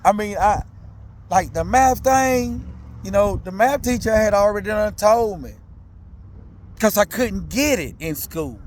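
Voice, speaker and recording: A man's voice: high-pitched, a little slow. A father in his thirties or older, telling his own story in candid, slangy talk, repeating himself and asking listeners if they follow. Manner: animated, repetitive, slangy storytelling with many asides to listeners.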